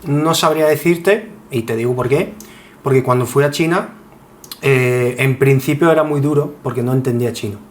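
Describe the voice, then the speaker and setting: A man's voice: medium pitch, normal speed. Young Spanish man, mid-range voice, chatting. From Spain. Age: 20s. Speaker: podcast guest. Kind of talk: casual chat. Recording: clear steady background noise.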